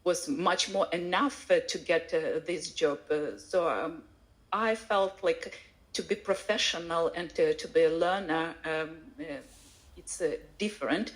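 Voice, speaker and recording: A woman speaking non-native English with a foreign accent, a language teacher giving a personal account haltingly, searching for words. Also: fairly low pitch, poor audio. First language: not English. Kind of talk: personal account at a live online event.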